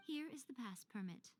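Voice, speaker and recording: A woman's voice: sits high, background noise. A woman voicing a sly, elegant shrine priestess in a video game, coy, playful and a little haughty.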